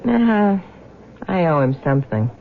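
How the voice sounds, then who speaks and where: An actress playing a flirtatious unfaithful wife in a radio drama, with a low, creaky voice. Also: female voice, big pitch swings, moderate pace, slight background noise. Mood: teasing. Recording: muffled old radio drama recording.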